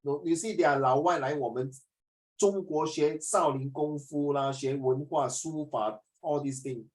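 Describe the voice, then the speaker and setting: A man with a Singaporean English accent teaching a social studies class, animated and rambling, slipping into Mandarin now and then. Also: on the high side, a little slow.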